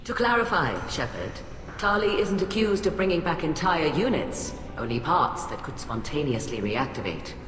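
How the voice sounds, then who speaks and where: A woman's voice: sits low, very animated, moderate pace, fairly bright tone. A woman voicing a cool, precise alien admiral in a video game. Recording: echoey hall.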